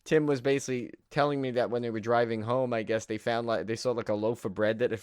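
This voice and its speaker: A man's voice: mid-range voice, fairly even intonation, quick pace, darkish tone, smooth, no room sound, clean studio sound. A man co-hosting a podcast and talking in a casual, conversational style. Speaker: podcast co-host.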